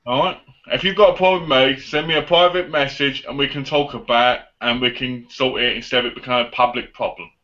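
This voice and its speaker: A man's voice: mid-range voice, steady tone, fast, bright, forceful tone. A man in his twenties hosting a casual live online chat show. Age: 20s. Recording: dull lo-fi online call audio.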